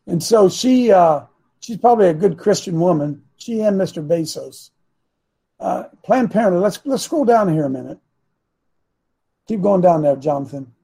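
A raspy older man holds forth as a talk show host, rambling and preaching between throat clears. His voice sits high for a man but sounds dark and husky, with a gravelly, phlegmy edge. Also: animated, normal speed. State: phlegmy, coughing. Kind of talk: casual political commentary.

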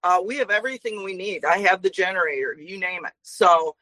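A woman's voice: on the low side, brisk, sharp tone, dry recording, poor audio. A woman in her forties, a traveling minister, talking earnestly as a guest on a talk show with frequent fillers. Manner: earnest, talkative, many fillers.

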